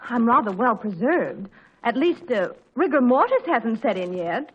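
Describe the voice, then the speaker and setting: Mother, late thirties: husky, fairly high, lively swings, dry and bemused, 1940s radio comedy. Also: normal speed, highs cut off. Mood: coy. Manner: dry, coy, mildly puzzled. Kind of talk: 1940s radio sitcom acting.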